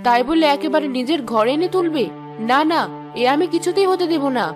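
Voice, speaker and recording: A woman's voice: sits high, brisk, over music, close to an everyday voice. A woman voicing a scornful old mother-in-law. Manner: scolding, scornful. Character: stern disapproving older mother-in-law. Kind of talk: Bengali cartoon voice acting.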